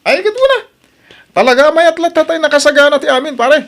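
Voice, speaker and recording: A man's voice: extremely high pitch, lively intonation, quick pace, fairly bright tone, voice pushed up, full character voice. A man voicing a boisterous, teasing male friend in an audio drama. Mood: jovial. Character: loud jovial male buddy.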